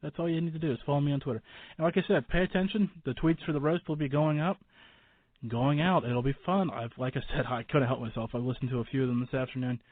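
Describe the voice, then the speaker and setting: A man hosting a radio show in a casual, rambling, informal way with halting starts. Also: mid-range voice. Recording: boxy phone-like line with room echo.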